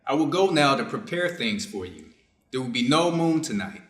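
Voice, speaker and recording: A man's voice: mid-range voice, very animated, normal speed, a bit bright. A man playing a reserved, conflicted Japanese doctor in a staged reader's theater drama, formal and guarded in his phrasing. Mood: resolved. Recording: roomy, echoing space.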